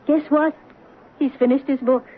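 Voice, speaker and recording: A gentle, slightly nervous mother, played by an actress, her voice sitting a little high. It has the thin, phone-like sound of an old radio broadcast with a faint hiss underneath. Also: fairly even intonation, normal speed, natural voice. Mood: gentle, anxious. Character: gentle widowed mother, kind and anxious. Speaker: Celia, a mother. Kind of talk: 1970s radio drama acting.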